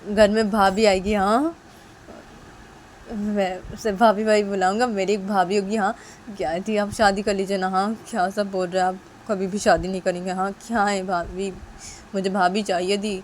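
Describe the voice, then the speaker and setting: A woman's voice: mid-range voice, level tone, normal speed, slight background noise, lightly acted. A woman voicing an eager younger brother who keeps pleading and whining to his sister. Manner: pleading, whiny.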